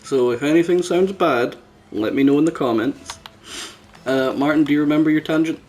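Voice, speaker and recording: A man's voice: fairly high pitch, moderate pace, darkish tone. A man hosting a casual podcast, chatting and teasing his friends. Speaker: podcast host. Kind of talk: casual chat, teasing banter. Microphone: new microphone. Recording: clearly noisy background.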